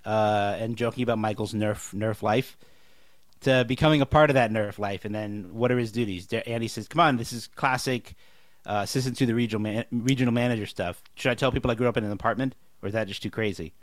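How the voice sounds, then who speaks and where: A man's voice: on the low side, fast talker, no room sound. Analytical male podcast co-host, close dry sound. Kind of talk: casual TV recap discussion.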